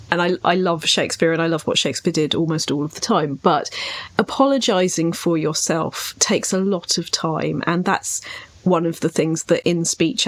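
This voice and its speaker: A woman podcast co-host talking conversationally and articulately about writing, in a reflective way with little acted-out asides. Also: deep voice. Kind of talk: conversational literary discussion.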